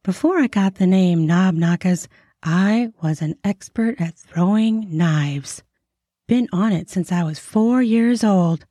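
A man's voice: sits high, slow pace, dark tone, no room sound, studio quality, voice pushed up. A man voicing a bawdy, sly frontier barkeep woman with a Minnesota accent and a teasing, drawling delivery. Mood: wistful. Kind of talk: audiobook character voice.

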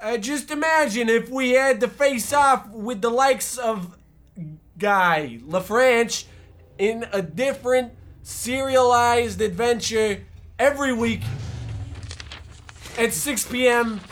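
Male actor as scheming young serial hero, mock-earnest, extremely high pitch, old-time radio spoof. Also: fairly bright tone, full character voice. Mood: heroic. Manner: mock-earnest, halting exposition. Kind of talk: hobby old-time radio parody acting.

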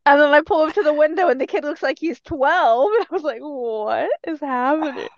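A woman's voice: sits high, a little fast, dry recording, highs cut off. A woman co-hosting a podcast in casual chat, telling things as stories and acting out little quotes. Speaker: podcast co-host.

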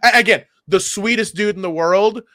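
Male comedy podcaster, bright and slightly high voice, halting casual storyteller, close dry sound. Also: dry recording. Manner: halting, repeats words, profane. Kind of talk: casual chat, telling anecdotes.

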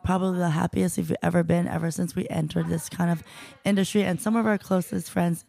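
A woman's voice: fairly low pitch, fairly even intonation, vocal fry, mellow. A woman guest from the United States answers interview questions in an easygoing, giggly way. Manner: easygoing, giggly, speaks slowly when spelling things out. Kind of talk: casual interview chat.

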